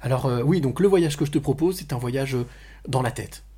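A man's voice: mid-range voice, fairly quick, mellow, slightly rough. A man hosting a conversational podcast interview, often repeating and rephrasing as he goes. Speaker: podcast host.

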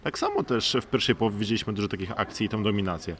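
Male athlete interviewee, lowish voice, halting casual analysis, faintly noisy recording. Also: expressive, a bit bright. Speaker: guest, professional basketball player. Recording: faint background noise and ambience.

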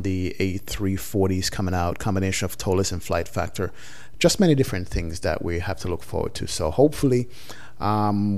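A man hosting a flight sim podcast, introducing the episode in a relaxed, chatty way with fillers. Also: low voice. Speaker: podcast host. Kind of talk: podcast intro and casual chat.

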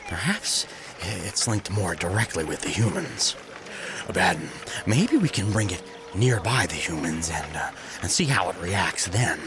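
An actor playing a mocking, scheming demon sidekick in an audio drama, theatrical and taunting. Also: male voice, sits low, sing-song, unhurried, noisy background, full character voice. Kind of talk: animated audio drama acting.

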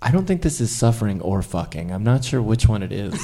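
A man's voice: lowish voice, big pitch swings, a little fast, mellow, slight background noise. A man in improvised radio comedy playing an earnest, unimpressed young female student in a plain, dry manner. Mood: bemused, uneasy.

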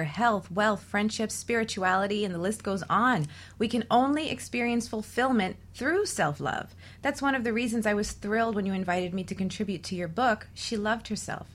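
A woman hosting a radio talk show on relationships, delivering semi-scripted introductions and chat as a host and coach. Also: medium pitch.

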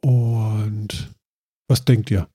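A man's voice: lowish voice, little pitch movement, very slow, warm tone, breathy edge, dry recording, clean studio sound. A man who sounds nasal from a stuffed nose, hosting a casual chat and rambling with many fillers.

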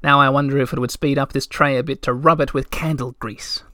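A man voicing a cheeky, cheerful little girl with a breezy, brisk manner. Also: medium pitch, fairly even intonation, sharp tone, no room sound, studio quality, natural voice.

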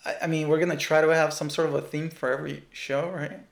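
A man's voice: on the high side, level tone, fast, creaky, reverberant. A man in his thirties from Russia with a Russian accent, co-hosting a casual podcast and rambling and teasing with lots of restarts. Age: 30s. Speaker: podcast co-host.

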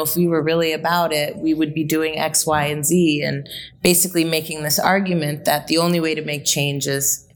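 A woman in her late thirties, a guest telling a story in a reflective, conversational way with frequent fillers. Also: on the low side. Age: late 30s. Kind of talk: conversational interview.

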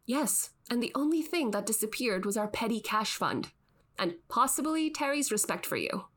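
A woman's voice: on the high side, very animated, normal speed, fairly bright tone. An actress playing a dry, skeptical podcast host, the exasperated straight woman in scripted comic banter. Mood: exasperated, defensive. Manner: wry, skeptical.

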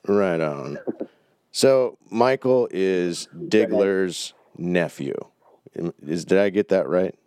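A man hosting a podcast, relaxed and laughing as he chats with callers. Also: low voice, takes it slowly, clear, resonant tone, no room sound, clean studio sound. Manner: easygoing, laughing banter. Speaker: podcast host.

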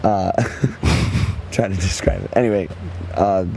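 Young man, hoarse, deep, dark tone, relaxed rambling talk. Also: takes it slowly, slight background noise. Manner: laid-back, laughs often, rambling. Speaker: musician guest. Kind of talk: casual interview chat. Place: outdoors at a festival.

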